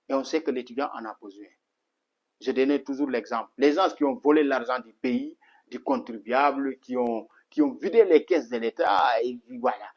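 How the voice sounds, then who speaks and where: A man's voice: mid-range voice, darkish tone. A middle-aged man from Senegal, a martial arts instructor, answering in an interview with an earnest manner, full of restarts and filler words. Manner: earnest, repetitive, many restarts and "voilà" fillers.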